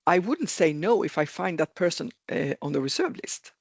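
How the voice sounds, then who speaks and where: Earnest webinar speaker, gravelly voice. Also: male voice, fairly high pitch, very animated, normal speed, dry recording. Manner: repetitive, earnest, self-correcting. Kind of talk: explanatory webinar talk. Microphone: video call. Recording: video call with dry sound.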